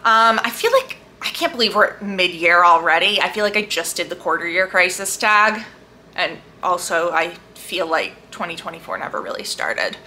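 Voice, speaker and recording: A woman book vlogger chatting casually and enthusiastically to camera, leaning hard on emphatic, drawn-out words. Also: fairly low pitch, sharp tone, a little hiss or hum. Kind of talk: casual chat to camera about books.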